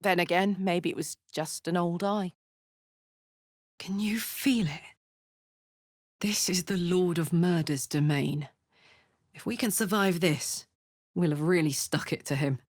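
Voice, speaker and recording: Video game tiefling warrior woman: brash, warm-hearted, earthy and blunt. Unhurried delivery, dry studio sound. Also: on the low side, dry recording. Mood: determined. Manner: blunt, earthy, informal soldierly talk. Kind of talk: video game character voice acting.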